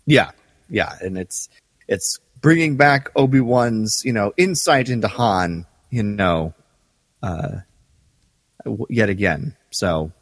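A man's voice: on the low side, lively intonation, takes it slowly, no room sound, in a large hall. A man co-hosting a podcast in casual fan chat, agreeable, with hesitant phrasing. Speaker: podcast co-host.

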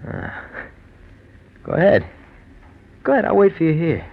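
A man's voice: a little high, huge pitch swings, moderate pace, creaky, loud music behind the voice, full character voice. An actor playing a controlling, suspicious gangster with slangy tough-guy delivery in a 1940s radio drama. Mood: threatening. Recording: muffled, noisy old radio recording.

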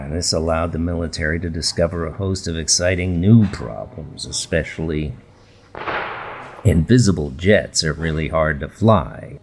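A man playing a weary research manager in a radio documentary interview, deadpan and dry. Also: low voice, measured pace, a little hiss or hum. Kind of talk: documentary interview in audio fiction.

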